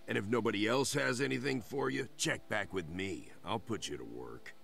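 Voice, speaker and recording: An actor playing a gruff, bossy male bandit boss in a video game, curt and commanding, with a gravelly voice. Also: lowish voice, expressive, moderate pace, over music.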